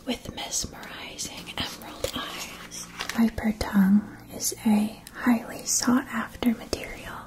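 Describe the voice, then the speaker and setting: Poised female witch wand-seller for ASMR roleplay, acted by a woman: hushed whisper, unhurried, formal delivery. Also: on the high side, close to an everyday voice, rough recording. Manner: formal, measured, deliberate explaining. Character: poised, attentive female witch shopkeeper selling magic wands.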